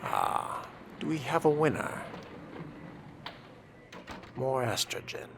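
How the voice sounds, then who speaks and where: A man's voice: medium pitch, expressive, slow, vocal fry, noisy background. A man narrating a role-playing game in character, with a pompous, disdainful manner and formal wording. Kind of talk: in-character roleplay commentary.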